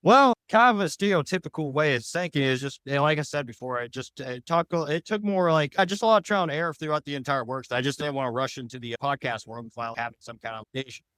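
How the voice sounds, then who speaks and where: A man's voice: mid-range voice, fast, few pauses, a bit bright, smooth, no echo. A man giving casual, rambling interview answers as a podcast guest, in loosely phrased explanations. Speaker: podcast guest, audio drama creator.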